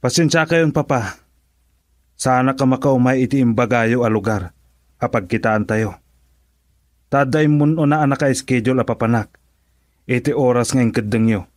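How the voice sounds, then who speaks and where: A man's voice: mid-range voice, normal speed, long pauses, dry recording, studio quality, lightly acted. A man with an Ilocano accent playing an evasive young husband in a radio drama. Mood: defiant. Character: evasive, busy young husband dodging his father-in-law.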